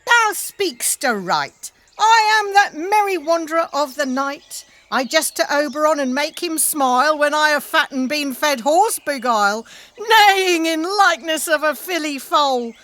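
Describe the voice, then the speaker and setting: Mischievous fairy sprite, female performer: high, bright voice, rhythmic Shakespearean verse for radio play. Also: lively intonation, a little slow. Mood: boastful, mischievous. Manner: declamatory, rhythmic delivery. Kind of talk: theatrical Shakespearean verse recitation.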